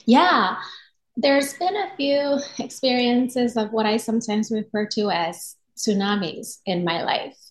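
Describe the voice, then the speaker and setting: A woman's voice: a little high, unhurried. A middle-aged woman with an American accent, telling her personal story in an earnest, articulate, reflective way as an interview guest. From Japan. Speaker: yoga and meditation instructor, podcast guest. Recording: roomy echo on voice.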